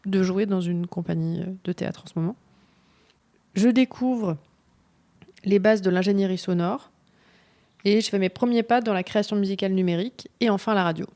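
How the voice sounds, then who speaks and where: A woman co-hosting a bicycle radio project, reading a scripted presentation clearly with small hesitations. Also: mid-range voice, mellow.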